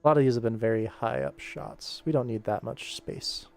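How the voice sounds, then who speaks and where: A man's voice: medium pitch, fairly even intonation, moderate pace, vocal fry, a little mellow. A man giving casual livestream commentary as an animation director, thinking aloud and explaining, with music behind him. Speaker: animation director streaming his work.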